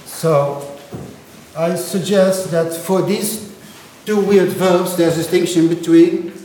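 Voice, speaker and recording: A man's voice: high voice, steady tone, slow pace, mellow, a little hiss or hum. Man, Dutch first language, lecturing, frequent "right?", echoey room. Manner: explanatory, checks understanding with frequent "right?". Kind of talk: university lecture on linguistics.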